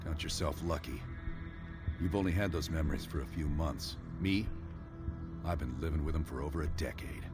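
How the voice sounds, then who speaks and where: A man playing a hardened, grim CIA operative in a video game, speaking in an insistent, deliberate way. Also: a bass voice. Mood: grim, controlled. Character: hardened older CIA operative interrogating a captive, grim, intense. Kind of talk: cinematic video game acting. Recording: loud music behind the voice.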